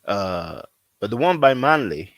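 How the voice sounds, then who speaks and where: A man who is not a native English speaker, talking casually as a language teacher, repeating himself often and filling pauses with "you know.". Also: fairly low pitch, big pitch swings, a bit bright, dry recording. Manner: repetitive, conversational, frequent fillers. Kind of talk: casual promotional talk.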